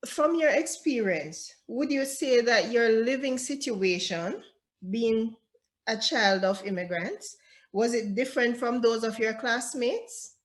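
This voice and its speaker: A woman's voice: fairly high pitch, animated, slow pace. A woman hosting a video call interview, asking questions and giving short affirmations. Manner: brief affirmations and prompting questions. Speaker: interview host.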